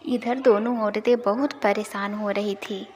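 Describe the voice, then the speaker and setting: A woman's voice: medium pitch, fairly even intonation, unhurried, slight background noise. A woman narrator reading a scripted moral bedtime story for an animation.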